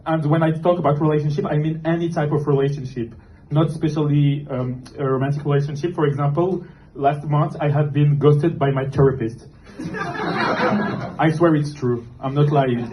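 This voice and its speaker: A young man from Morocco, doing a self-deprecating stand-up set in a comedy club, deadpan and halting with fillers. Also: a little high, muffled recording. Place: comedy club with live audience. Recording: noisy club recording. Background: audience laughter.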